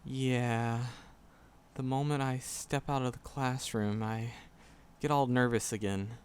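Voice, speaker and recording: A man's voice: mid-range voice, steady tone, slow pace, a little mellow, slight background noise, natural voice. A man playing a shy, nervous magic professor in a roleplay audio drama, skittish and earnest, stammering and repeating words as he talks to the listener. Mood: bashful, sincere.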